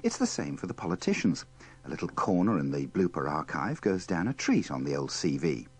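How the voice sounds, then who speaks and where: A man's voice: sits low, huge pitch swings, brisk, slightly rough. A man presenting in scripted, wry narration with a dry, tongue-in-cheek manner.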